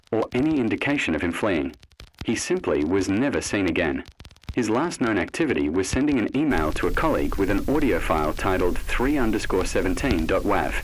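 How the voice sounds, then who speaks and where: A man reading scripted horror mystery narration in a measured, ominous, dramatic way. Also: low voice, slight background noise, low-quality recording.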